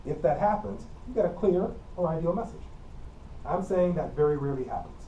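A mellow-voiced middle-aged man with a Midwestern accent lectures on communication, repeating himself patiently in a plain teacherly way. His voice is caught by a camera mic across an empty classroom, so it sounds distant and roomy. A faint air-conditioner hum sits underneath. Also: fairly high pitch, fairly quick, lo-fi sound. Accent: Midwestern American. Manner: plain, repetitive teacherly explaining with frequent coughs. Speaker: college communication instructor. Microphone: camera mic from across the room.

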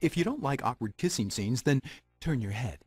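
A playful magic mirror storyteller for a video game. He is very animated and wry, a little husky, in a dry recording over faint music. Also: medium pitch, moderate pace, dry recording. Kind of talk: scripted storybook narration for a game.

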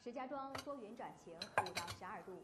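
A woman plays a television weather presenter in an audio drama. Her voice is bright and mid-pitched, reading formally like a broadcast. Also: expressive, fairly quick, close to an everyday voice. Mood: neutral.

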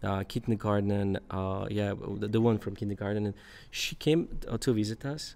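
A man's voice: fairly low pitch, steady tone, brisk, darkish tone, clean tone. A young man talking as a podcast guest in a studio, thoughtful, with many fillers and restarts. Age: young adult. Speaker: podcast guest, English teacher. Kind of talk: casual conversation. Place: dark studio. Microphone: boom mic in front.

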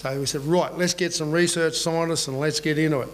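A man's voice: a little high, fairly even intonation, a little fast, slight background noise. A middle-aged Australian man giving a conference presentation in a plain, conversational way, often checking in with the room. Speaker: conference speaker. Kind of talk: presentation talk. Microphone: boom mic on a lectern stand.

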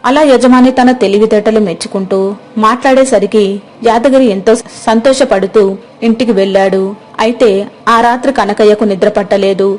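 A woman's voice: on the high side, brisk, a little mellow, poor audio. A woman narrating a scripted moral story as the storyteller.

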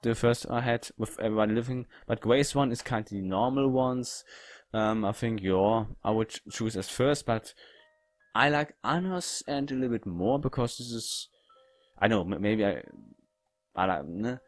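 A man giving casual game commentary in halting, non-native English phrasing. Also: medium pitch, no echo, soft background music. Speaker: gaming video host.

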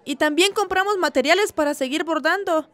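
A woman's voice: extremely high pitch, brisk, a bit bright, no echo, a faint crowd. An actress with a Mexican accent playing a weary, outspoken village woman in a radio drama. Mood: matter-of-fact.

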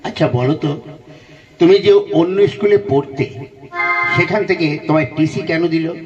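A man's voice: on the high side, moderate pace, a little mellow, recorded from a distance, muffled recording, soft background music, close to an everyday voice. A man voicing an exasperated, theatrical schoolmaster puppet in a comic puppet show. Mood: dismayed. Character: exasperated male schoolmaster puppet quizzing a pupil.

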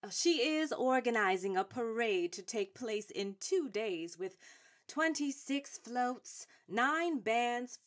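A woman's voice: on the high side, fairly bright tone, full character voice. Authoritative suffragette elder stateswoman. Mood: measured, emphatic. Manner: formal, declarative, authoritative. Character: seasoned, proud, shrewd woman suffrage activist of the 1910s. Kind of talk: stage-trained radio drama acting.

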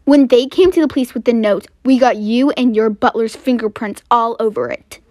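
A woman's voice: on the high side, normal speed, darkish tone, no echo, studio quality, lightly acted. A woman playing a stern, questioning police officer in an amateur roleplay drama. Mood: firm. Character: stern police officer rescuing kidnapped children.

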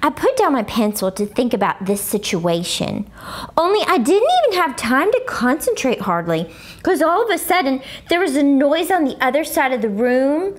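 A woman voicing an excitable, blunt first-grade girl in an expressive children's book read-aloud, with childlike grammar and exaggerated emphasis. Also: sits high, normal speed. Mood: dramatic, earnest.

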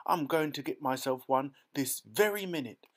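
A man voicing a spoiled, demanding little girl who shouts and whines for what she wants. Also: lively intonation, moderate pace, natural voice. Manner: shrill, whiny, shouting.

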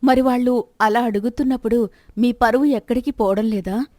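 A woman's voice: fairly high pitch, steady tone, brisk, a little mellow, no echo, clean studio sound, natural voice. A woman voicing a persistent, practical middle-aged wife of a rich merchant in a moral story, chiding and persuading. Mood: chiding, persuasive.

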